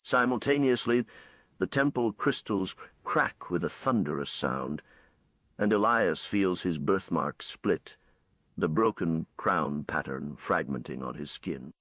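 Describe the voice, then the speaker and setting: A man reading scripted bedtime fantasy narration as a storyteller, in a measured, dramatic way. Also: deep voice, lively intonation, fairly bright tone, no room sound.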